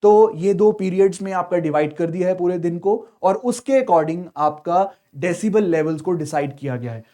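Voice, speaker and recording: A man's voice: sits high, lively intonation, normal speed, hardly any pauses, dark tone. A man with an Indian accent giving an explanatory lecture as an exam teacher, posing questions and then answering them in a didactic way. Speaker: civil services exam teacher.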